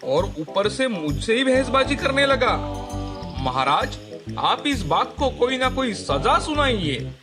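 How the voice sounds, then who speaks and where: A cocky, foolish donkey, played by a man, argues in a high voice that swings widely. He is whiny and boastful, in exaggerated cartoon fable style, with music behind him. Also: big pitch swings, takes it slowly, heavily acted. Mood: complaining. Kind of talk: animated fable dialogue.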